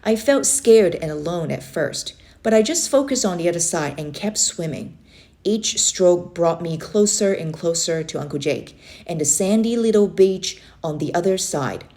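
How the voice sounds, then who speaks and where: Female storybook reader, slightly low pitch, expressive read-aloud delivery for children. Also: normal speed.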